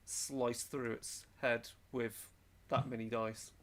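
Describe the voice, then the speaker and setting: A man's voice: medium pitch, flat delivery, slow pace, a bit bright. A man playing a tabletop roleplaying game, chatting casually and thinking aloud through his moves.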